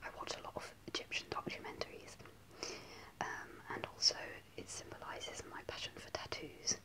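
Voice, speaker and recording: A young woman telling a personal story in a soft, hesitant ASMR whisper, close to the camera in a small room. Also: moderate pace. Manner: soft unvoiced whisper, hesitant with many ums. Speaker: ASMR creator. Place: small indoor room before a starry backdrop. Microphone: close to a camera at face level.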